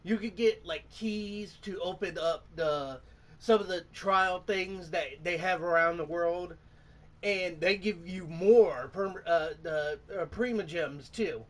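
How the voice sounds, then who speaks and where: High-pitched man rambling through gameplay, distant mic. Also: fairly bright tone. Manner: halting, rambling, groping for words. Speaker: gaming channel host. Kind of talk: casual gameplay commentary.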